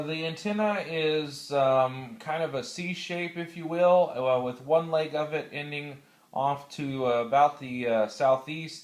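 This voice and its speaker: An American man talking casually on amateur radio, explaining methodically and haltingly, with radio procedure words. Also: mid-range voice. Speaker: classroom teacher.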